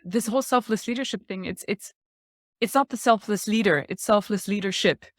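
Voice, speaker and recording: A woman's voice: medium pitch, brisk. A woman in her forties, from Thailand, talks through ideas in an earnest, explanatory way. She laughs lightly between points, and her mid-range voice sounds close and dry with no room echo. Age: 40s. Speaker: guest speaker. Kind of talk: reflective podcast talk.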